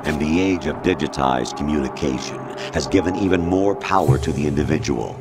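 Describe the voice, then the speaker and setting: A cold artificial ruler in a game cutscene, speaking with an extremely low, rumbling voice. He lectures slowly and condescendingly. Loud dramatic music plays behind him. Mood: cold lecturing. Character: cold male machine mind lecturing, mature. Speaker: game character. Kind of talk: video game cutscene voice acting. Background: loud dramatic music score.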